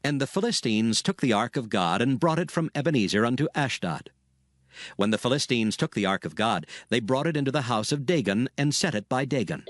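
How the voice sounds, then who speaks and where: A man narrating scripture from a script in a solemn, measured storytelling manner. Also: mid-range voice. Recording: background music under the narration.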